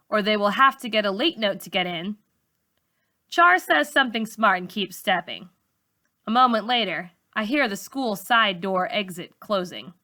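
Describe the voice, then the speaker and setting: A woman reading a novel aloud as a teacher would, in an expressive storybook style for young students. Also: medium pitch. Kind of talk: expressive storybook narration for middle schoolers.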